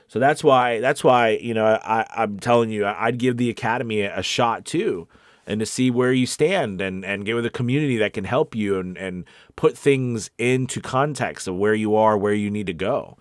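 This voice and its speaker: Low-voiced man giving candid advice. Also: dry recording, clean studio sound. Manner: candid, encouraging, repeats himself for emphasis. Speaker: voice actor and coach hosting a live Q&A. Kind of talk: casual, off-the-cuff advice talk.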